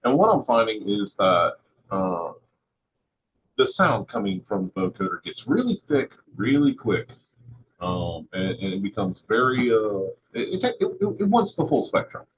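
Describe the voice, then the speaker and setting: Muffled, noisy, low-voiced hesitant man. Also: sing-song, measured pace. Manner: hesitant, repeating words. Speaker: guest panelist. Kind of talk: casual chat. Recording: dull lo-fi call with background noise.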